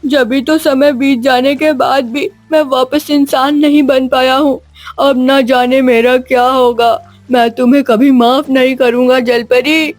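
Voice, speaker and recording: A woman's voice: sits high, fairly even intonation, unhurried, no echo. A woman voicing a worried, self-pitying young boy in a cartoon story, fretful and lamenting. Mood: despairing.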